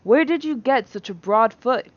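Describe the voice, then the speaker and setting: A woman's voice: fairly high pitch, animated, moderate pace, pitched above the performer's own voice. Young royal bridegroom, blunt and aghast, storybook delivery, slightly lo-fi woman acting. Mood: appalled.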